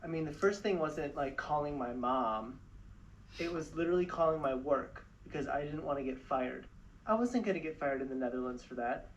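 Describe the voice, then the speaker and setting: Brief: man, mid pitch, hesitant delivery, slightly distant mic, faint noise. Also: moderate pace. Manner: hesitant, filler-laden recounting. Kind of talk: personal story clip.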